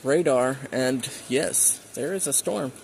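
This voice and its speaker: A man's voice: medium pitch, takes it slowly, vocal fry, darkish tone. A man talking casually as he narrates his radio hobby to the listener. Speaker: hobbyist recording weather radio alerts. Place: outdoors near his house. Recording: faint outdoor ambient noise.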